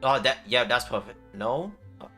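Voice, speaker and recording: A man's voice: mid-range voice, moderate pace, pushed and bright, faint music behind. A man livestreaming a video game, reacting in short, casual, laid-back comments.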